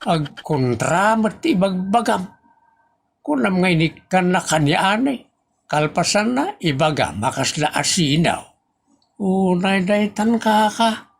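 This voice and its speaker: An actor with an Ilocano accent playing a scolding, complaining family member in a comedy audio drama, full of drawn-out exclamations. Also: mid-range voice, big pitch swings, gravelly, breathy edge, exaggerated voice. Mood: exasperated.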